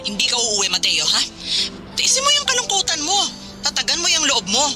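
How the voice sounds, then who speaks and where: Stern Filipino wife, loud music behind. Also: female voice, high-pitched, wildly swooping pitch, a little fast. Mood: firm, scolding. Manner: exasperated, firm, nagging. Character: stern, practical seaman's wife, scolding and insistent. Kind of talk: radio drama dialogue.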